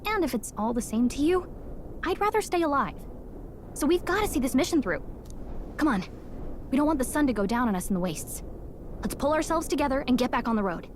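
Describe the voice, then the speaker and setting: High, spirited little fairy guide. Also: female voice, animated, fairly quick, pauses often, a little hiss or hum. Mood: wry, earnest. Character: small, spirited female fairy guide, protective and urgent. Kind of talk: video game voice acting.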